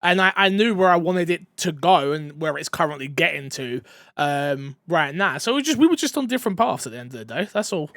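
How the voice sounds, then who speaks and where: A man hosting a casual gaming podcast, excitable and joking, laughing often. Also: a little high, brisk, no echo, clean studio sound. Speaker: podcast host.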